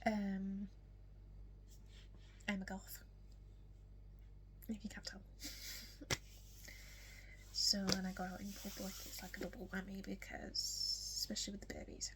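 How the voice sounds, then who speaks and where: A nineteen-year-old woman with a strong Yorkshire accent, chatting casually to camera in a get-to-know-me vlog, often soft and whispery with lots of ums, hiccuping now and then. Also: mid-range voice, little pitch movement, unhurried, pauses often, heavy background noise. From West Yorkshire, England. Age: young adult. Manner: soft, frequently whispery delivery with many ums. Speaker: vlogger.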